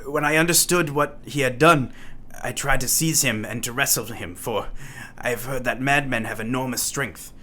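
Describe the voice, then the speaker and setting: A man playing a tormented madman in a radio play, delivering a halting, dramatic confession. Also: mid-range voice, pushed and bright. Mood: anguished. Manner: haunted, dramatic, halting monologue.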